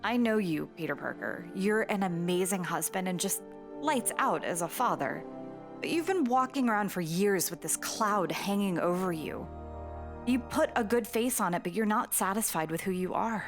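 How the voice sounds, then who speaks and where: A woman's voice: medium pitch, expressive, normal speed, close to an everyday voice. A woman playing a supportive, perceptive wife in a comic dub, speaking with earnest reassurance. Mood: knowing, tender. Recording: music behind the voice. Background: music.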